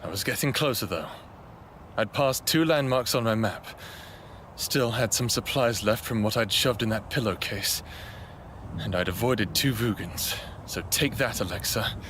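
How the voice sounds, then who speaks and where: A man's voice: on the low side, normal speed, fairly bright tone, a little hiss or hum, lightly acted. A man playing a sarcastic, stubborn human runaway in his thirties, narrating an audio drama in a casual, wry way. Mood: wry, grumbling. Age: 30s.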